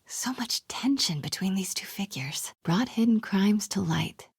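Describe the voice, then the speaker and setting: An actress playing a brave, quick-witted young woman reporter in a video game, with an American accent, a breathy, husky voice and a wry, conversational, often hushed delivery. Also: medium pitch, expressive, dry recording, studio quality, heavily acted. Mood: wry, musing. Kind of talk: naturalistic video game voice acting.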